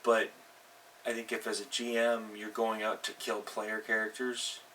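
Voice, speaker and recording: A middle-aged man hosting an unscripted advice vlog as a game master, speaking haltingly and leaning hard on stressed words. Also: on the low side, monotone, bright, forceful tone. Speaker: host, tabletop game master. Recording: distant mic with faint background noise.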